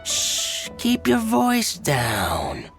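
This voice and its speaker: Sly merchant with wildly swooping delivery. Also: male voice, on the high side, huge pitch swings, extremely slow, vocal fry, heavily acted. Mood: sly, secretive. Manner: sly, conspiratorial. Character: shady, wily male merchant of dubious wares. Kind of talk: video game voice acting. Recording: loud background music.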